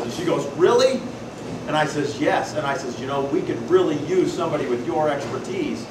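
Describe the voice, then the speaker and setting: Folksy man telling stories to a hall. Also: a little high. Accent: US Pacific Northwest. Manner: loud folksy storyteller, asks the room questions. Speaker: presenter at a membership workshop. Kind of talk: lively storytelling talk to an audience. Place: meeting hall with a live audience. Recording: distant, echoey room recording with loud noise.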